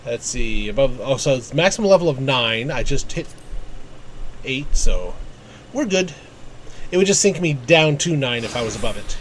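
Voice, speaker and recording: A man's voice: medium pitch, lively intonation, moderate pace, a little hiss or hum. A man doing casual, playful live commentary as a video game streamer, sometimes reading game text aloud.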